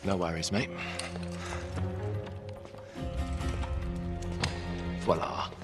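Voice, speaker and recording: Man acting as a low-voiced, smug British thief. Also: steady tone, brisk, close to an everyday voice. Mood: dry. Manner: dry, teasing, self-assured. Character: smug, sardonic male thief with a sly streak. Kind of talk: video game cutscene acting. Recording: cinematic music behind the voice.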